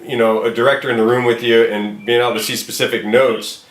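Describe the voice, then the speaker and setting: A man's voice: mid-range voice, level tone, fast talker. A man speaking casually and encouragingly as a film director, in an informal, conversational way. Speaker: film director casting an actor. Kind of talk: casual phone call and talk to viewers. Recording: roomy, echoey room sound.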